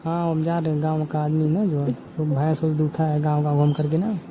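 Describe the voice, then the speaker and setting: This is a young man, speaking informally over the phone. His delivery is even and insistent, circling back over his phrases. Clear noise runs through the call recording. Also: a little high, brisk. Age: 20s. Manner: insistent, repetitive.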